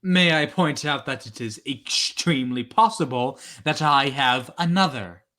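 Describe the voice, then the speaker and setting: A man's voice: mid-range voice, unhurried, pushed and bright. A man acting in an old-time radio drama as a cool, conscientious hired killer, with formal, understated politeness. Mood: polite menace.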